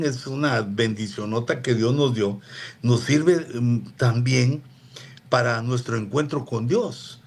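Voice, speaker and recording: Man giving a rambling radio sermon. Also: medium pitch. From Latin America. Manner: unhurried, digressive, with frequent asides to listeners. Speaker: apostle preaching on a radio program. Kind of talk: conversational sermon teaching.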